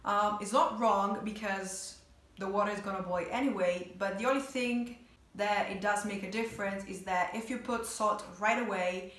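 A woman's voice: medium pitch, steady tone, normal speed, a bit bright. A woman in her twenties with an Italian accent, chatting casually to camera in a reaction video. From Italy. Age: 20s. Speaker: vlogger. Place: small bedroom indoors, sitting on bed. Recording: roomy, echoey bedroom sound.

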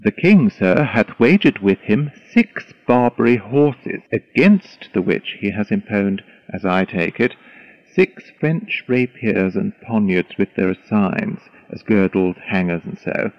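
An actor playing a foppish, flattering courtier in a Shakespearean audio drama, with a mannered, flowery, deferential delivery. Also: male voice, fairly low pitch, a little slow, no room sound, dull audio. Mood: affected, eager. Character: foppish, flattering young male courtier, wordy and eager.

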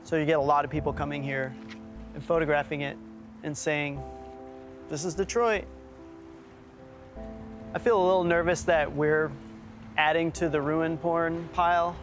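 A man's voice: fairly high pitch, fairly even intonation, normal speed, pauses often, over music. Casual thoughtful documentary host, man. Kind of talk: documentary presenting and voice-over. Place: outdoors and inside an abandoned factory.

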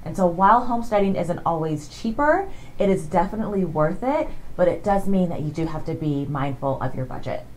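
A woman's voice: lowish voice, expressive, a little fast, a little mellow, a little hiss or hum, low-quality recording. Chatty woman vlogging from her couch. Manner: chatty, earnest, fillers like "you know". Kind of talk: casual talk to camera. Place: living room with blue couch. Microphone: handheld shotgun mic.

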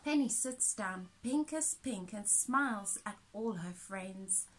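A woman's voice: mid-range voice, lively intonation, slow pace, a bit bright, slight background noise, poor audio. A woman in her thirties reading a picture book aloud to children as a teacher, expressively, with little asides. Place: small indoor room with soft toys.

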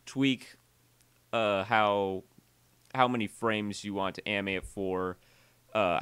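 A man narrating a software tutorial voiceover, halting and often repeating or correcting himself as he explains. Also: on the low side, clean tone, no room sound.

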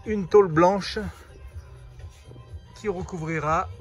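A man with a fairly high voice talks informally to viewers as a vlogger. His manner is playful and casual, with faint music behind him. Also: takes it slowly, husky. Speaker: vlogger showing his camper build and travels. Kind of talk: casual vlog chat.

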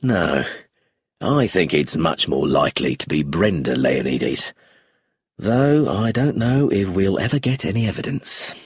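A man voicing a calm, blunt police chief inspector in an audiobook, low, steady and abrupt. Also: a little slow, husky, no room sound, studio quality, exaggerated voice. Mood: firm.

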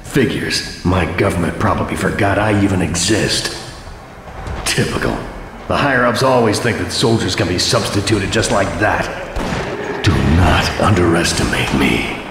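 Deep gravelly cynical soldier. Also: male voice, expressive, moderate pace, reverberant, heavy background noise. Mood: bitter. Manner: gruff, sardonic, world-weary. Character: cynical, battle-hardened male soldier, gruff and sardonic. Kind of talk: video game acting. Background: loud background music.

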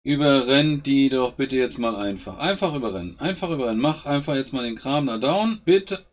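A man's voice: mid-range voice, expressive, brisk. A man giving casual gameplay commentary, grumbling and exasperated as he swears at his own units. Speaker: gaming YouTuber.

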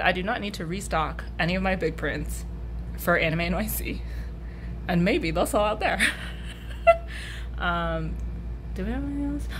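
A woman's voice: medium pitch, a bit bright, a little hiss or hum. Tired young woman chatting casually. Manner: rambling, laughs often, swears casually. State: tired, slightly hoarse. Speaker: artist vlogger. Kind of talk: casual vlog chat. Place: hotel room and convention hall.

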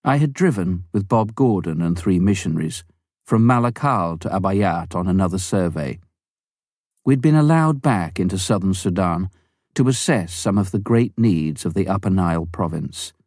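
A man reading a memoir aloud in a measured, unhurried manner. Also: deep voice, lively intonation, darkish tone, no echo, studio quality. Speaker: audiobook reader. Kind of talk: scripted memoir narration.

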